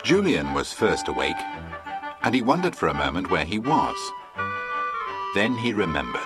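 A man's voice: deep voice, sing-song, normal speed, loud music behind the voice. A man with an English accent narrating a children's adventure story as a scripted storyteller. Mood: storytelling.

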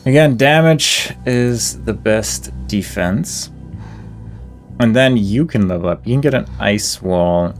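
A man giving casual video game playthrough commentary, reading out details and thinking aloud in an understated, musing way. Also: fairly low pitch. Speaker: gaming video host.

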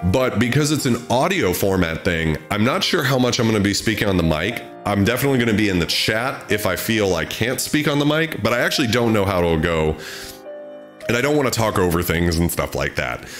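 A man's voice: lowish voice, animated, fairly quick, fairly bright tone. A man in his thirties chatting casually as a livestream host, close on the mic. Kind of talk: casual chat. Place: small home studio room. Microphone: close desk microphone, headphones on. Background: music.

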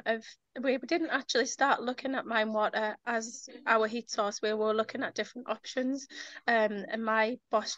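A young woman, a council energy manager giving a webinar talk over a video call, slightly croaky from a sore throat, chatty and informal with frequent ums. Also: fairly high pitch, a bit bright, dry recording. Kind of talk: webinar presentation. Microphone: headset on a video call. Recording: dull, lo-fi video call audio.

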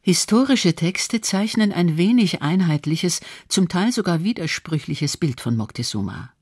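A woman's voice: lowish voice, animated, measured pace, darkish tone, slightly rough, no echo, clean studio sound. A woman narrating a scripted radio documentary.

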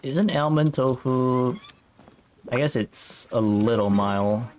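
A man's voice: medium pitch, extremely slow, darkish tone. A man making casual remarks as he streams a game playthrough.

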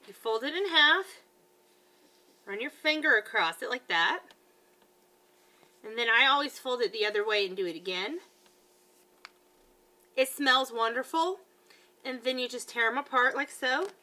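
Chatty bright-voiced woman reviewing products. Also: on the high side, expressive, unhurried, pauses often. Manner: chatty, stumbles over words. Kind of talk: casual product review vlog. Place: living room at home. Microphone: webcam at close range.

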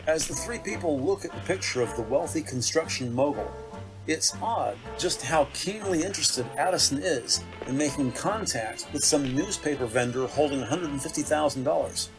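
A man's voice: on the high side, animated, moderate pace, low-quality recording. A man reading scripted narration for a detective audio drama. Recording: music under the voice. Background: dramatic score music.

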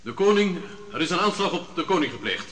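An actor playing a formal presiding judge in a radio drama. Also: male voice, on the high side, big pitch swings, a little fast, a bit bright, roomy sound, slight background noise. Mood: urgent. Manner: formal, official. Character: formal male court president.

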